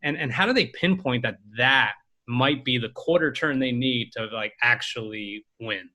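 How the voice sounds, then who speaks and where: Male podcast host, bright tense tone, easygoing and chatty. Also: medium pitch, moderate pace. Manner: laid-back, rambling questions, laughs easily. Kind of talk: casual interview.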